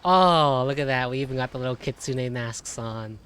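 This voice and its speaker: A woman's voice: very deep voice, moderate pace, a bit bright, clean tone. A woman giving casual live reaction commentary as she plays a game, chatty and emotionally invested. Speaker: gaming commentator.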